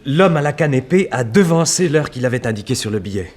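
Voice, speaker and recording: An actor playing an anxious, polite householder in a vintage French radio drama, with hesitant theatrical delivery. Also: male voice, mid-range voice, animated, a little fast, close to an everyday voice. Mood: tense, recounting. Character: anxious-seeming householder, secretly scheming, coolly recounts a killing. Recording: 1960s radio drama recording.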